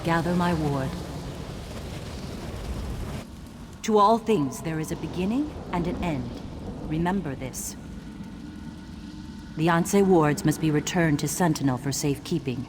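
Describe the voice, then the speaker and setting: An actor voicing a solemn, ancient guardian spirit in a video game, speaking in formal, ceremonial, measured lines. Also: mid-range voice, background noise, lightly acted. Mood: solemn, commanding. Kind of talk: scripted game dialogue. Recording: loud music behind the voice. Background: game music.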